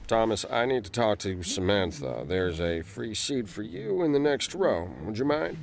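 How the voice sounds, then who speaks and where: An actor playing a haughty, ambitious, self-important politician in a video game. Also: male voice, on the low side, big pitch swings, normal speed, gravelly. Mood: wheedling.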